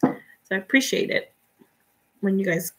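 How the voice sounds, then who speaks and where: A young woman from Guatemala whose first language is Spanish, chatting relaxed and giving step-by-step tips in a live painting tutorial. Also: medium pitch, normal speed. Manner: relaxed, chatty, laughs often, answers viewers' comments. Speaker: painting instructor.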